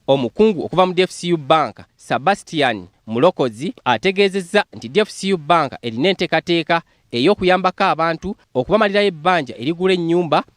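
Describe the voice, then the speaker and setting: A man with a Ugandan accent reading a scripted news report in a brisk, even voice-over. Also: a little high, no room sound, studio quality.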